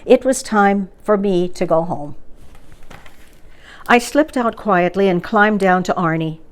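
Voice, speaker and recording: A woman reads a children's storybook aloud. Her voice is mid-pitched and her pace is slow and measured. She narrates expressively, acting out the dialogue as she goes. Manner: measured, animated read-aloud with acted dialogue. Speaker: story time reader.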